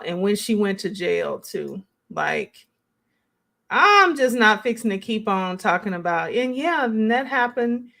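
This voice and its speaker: A woman's voice: mid-range voice, a bit bright. A woman hosting a live stream, giving casual, conversational reaction commentary as she thinks aloud and responds to chat.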